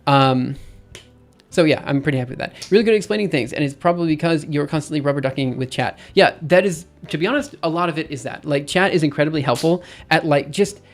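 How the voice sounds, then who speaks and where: A man's voice: fairly high pitch, fast. Rambling man chatting on a live stream. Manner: rambling, thinks aloud with many fillers and restarts. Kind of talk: casual live-stream chat.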